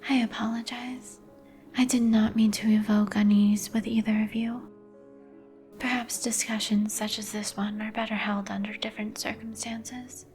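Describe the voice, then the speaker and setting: Casting: actress for somber vampire gentlewoman, whispered and even-toned, courteous antique diction, ASMR roleplay over music. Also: fairly high pitch, normal speed, natural voice. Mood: gentle, apologetic. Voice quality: whispered, breathy. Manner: formal, old-fashioned, somber phrasing. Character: melancholy, courteous vampire woman, turned young some 150 years ago. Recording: music behind the voice.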